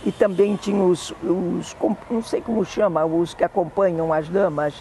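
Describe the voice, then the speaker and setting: An older woman with a Brazilian Portuguese accent, fondly recalling family memories in an oral history interview, often pausing to correct herself. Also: on the low side, steady tone, mellow, a little hiss or hum, rough recording. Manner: fond, meandering reminiscing with self-corrections.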